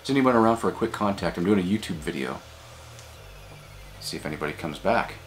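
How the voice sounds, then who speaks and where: A man's voice: deep voice, animated, a little fast, slight background noise. An American man in his forties giving a casual demonstration and chatting as an amateur radio hobbyist. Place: small home office indoors.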